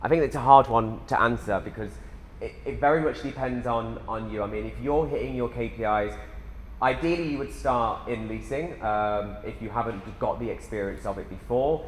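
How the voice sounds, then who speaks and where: A man's voice: mid-range voice, normal speed, slight background noise. A man in his twenties hosting a live company webinar in an upbeat way, reading out viewer questions. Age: 20s. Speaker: webinar host. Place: company headquarters. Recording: roomy hall with long reverb.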